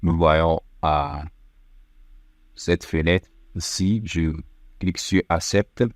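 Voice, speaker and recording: A man with a very low voice walks through a computer tutorial one click at a time. He speaks methodically, pausing on fillers as he goes. The sound is dry and close, with no room echo. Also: fairly even intonation. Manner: step-by-step, methodical with frequent fillers. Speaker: tech tutorial presenter. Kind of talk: screen-recorded software walkthrough.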